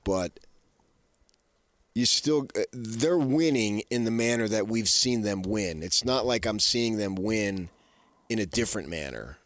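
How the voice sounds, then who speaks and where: A man with an American accent and a notably low voice co-hosts a sports discussion. He talks casually, feeling his way through halting, reworked phrases. The sound is close and dry. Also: animated, a bit bright, no echo. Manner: hesitant, halting phrasing with self-corrections. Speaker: sports radio co-host.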